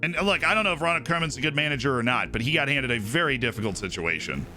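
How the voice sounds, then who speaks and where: A man's voice: medium pitch, animated, racing, bright, forceful tone, faint music behind. A young man streaming live, chatting casually and opinionatedly about football, with lots of fillers and asides.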